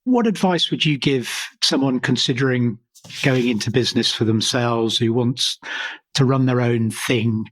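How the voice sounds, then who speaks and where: A man's voice: mid-range voice, moderate pace, a bit bright. A man hosting a podcast interview, asking questions in a friendly way and thanking his guest. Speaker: podcast host.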